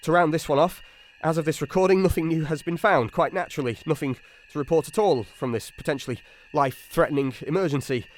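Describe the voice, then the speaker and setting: An actor playing an anxious, self-doubting, sardonic submarine doctor in a radio drama. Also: male voice, on the high side, fast, hardly any pauses, natural voice. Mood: bitter sarcasm. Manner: nervy, sardonic, brooding. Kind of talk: radio drama acting with diary-style monologue.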